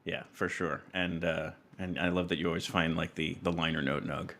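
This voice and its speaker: A man hosting a music podcast, talking casually and haltingly as he thinks aloud. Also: deep voice, little pitch movement, a bit bright. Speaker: podcast host.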